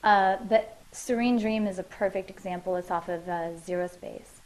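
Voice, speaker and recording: A woman's voice: medium pitch, fairly even intonation, normal speed, darkish tone, rough recording. Reflective woman in a home interview. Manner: reflective, many fillers and restarts. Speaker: musician interviewee. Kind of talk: casual interview answers. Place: her living room at home.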